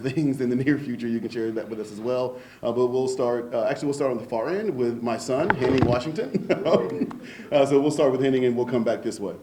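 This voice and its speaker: Mellow-voiced man moderating a panel. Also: mid-range voice. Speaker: executive director and panel moderator. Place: indoor community music center. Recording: echoey room, faint noise.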